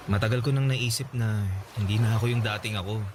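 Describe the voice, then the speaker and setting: A man acting a sober, guarded young man in a Filipino radio drama, with a Filipino accent and a measured, apologetic delivery. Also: on the low side, fairly even intonation, close to an everyday voice. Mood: resigned, firm. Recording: noisy ambient sound behind dialogue.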